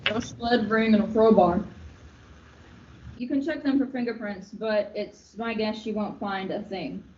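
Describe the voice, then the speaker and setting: A woman playing an offhand, deadpan old morgue doctor in a comic radio play. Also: mid-range voice, a little slow, lightly acted. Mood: blasé. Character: blasé old morgue doctor, fond of eating at work. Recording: roomy, muffled, noisy recording.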